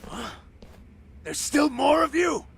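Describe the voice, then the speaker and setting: A violent, unhinged gangster from a video game, shouting threats in a strained, very high pitch. The words are dragged out with rasping creak, over loud noise. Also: male voice, expressive, exaggerated voice. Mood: furious. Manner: shouting, menacing, drawn-out. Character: crazed, violent male gangster enforcer. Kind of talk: video game cutscene acting. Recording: loud noise and clatter behind.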